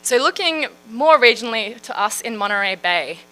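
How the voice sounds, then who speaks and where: An Australian woman in her thirties gives a public science talk. Her voice is bright and forceful. She speaks in a friendly, chatty way and often fills pauses with "um" and "uh". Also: on the high side, animated, rough recording. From Western Australia. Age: 30s. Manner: friendly, chatty, with frequent fillers. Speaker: marine scientist.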